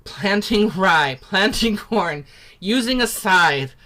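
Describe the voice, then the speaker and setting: A bright, forward-voiced woman talks through a singing lesson from her home on a computer mic. She chats loosely and warmly, full of "like" and "um", with a naturally loud voice that carries. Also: medium pitch, lively intonation, slow pace. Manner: chatty, upbeat, lots of "like" and "um". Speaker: singing workshop teacher. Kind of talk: casual online singing lesson. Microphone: computer mic on a video call.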